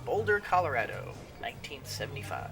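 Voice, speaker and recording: A man's voice: medium pitch, very animated, fairly quick, over loud music. A man hosting a gaming channel, rambling through casual let's-play commentary with lots of fillers, sniffly from a cold. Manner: rambling with many fillers and restarts.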